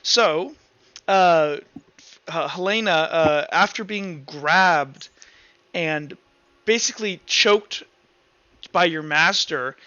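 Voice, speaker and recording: High-pitched, bright-voiced male game master. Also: dry recording, muffled recording. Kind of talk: casual tabletop game narration.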